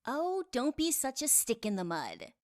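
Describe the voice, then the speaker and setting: A woman's voice: high-pitched, wildly swooping pitch, measured pace, a little mellow, no echo, clean studio sound, full character voice. A woman playing a teasing, breezy young female customer at a magical cafe in an audio drama.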